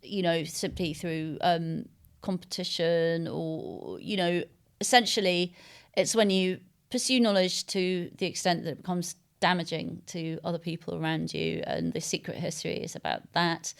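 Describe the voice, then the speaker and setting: A woman being interviewed as a writer, speaking thoughtfully and haltingly in unscripted conversation as she searches for words. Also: lowish voice, steady tone, creaky, smooth. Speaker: interviewed novelist.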